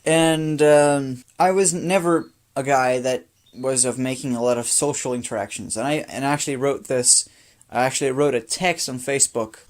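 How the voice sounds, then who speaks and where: A man's voice: mid-range voice, steady tone, moderate pace. A sixteen-year-old boy from Brazil with a light Brazilian Portuguese accent, a native Portuguese speaker talking off the cuff to his phone camera, sounding tired and rambling with many restarts. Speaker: vlogger. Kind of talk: off-the-cuff personal talk to camera.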